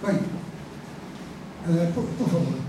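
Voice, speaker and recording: Echoey lecturing Brazilian professor. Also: male voice, fairly high pitch, animated, slow pace. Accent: Brazilian Portuguese. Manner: chatty, rambling with many fillers and tag questions. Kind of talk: university lecture. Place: large classroom. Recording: echoey classroom from far off, noisy.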